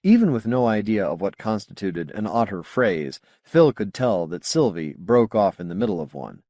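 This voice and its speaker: A man reading a science fiction novel as an audiobook narrator, with measured, clearly phrased delivery. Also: fairly low pitch, big pitch swings, dark tone, no room sound, studio quality.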